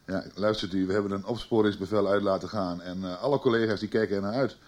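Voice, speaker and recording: An actor in a Dutch radio soap playing a cautious police officer, measured, formal and sceptical. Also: male voice, lowish voice, fairly even intonation, darkish tone, lightly acted.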